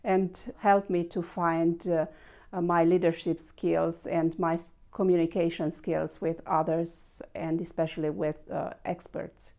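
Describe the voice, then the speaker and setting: A woman from Romania, giving a testimonial with some halting fillers. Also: lowish voice, level tone, vocal fry, mellow.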